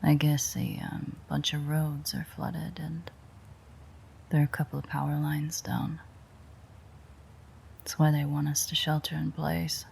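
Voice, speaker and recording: A woman playing a weary, sardonic, teasing female vampire in an intimate audio roleplay, with a breathy, husky voice that often drops near a whisper and a dry, sarcastic way of talking. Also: low voice, darkish tone, exaggerated voice. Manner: dry, rambling, sarcastic banter.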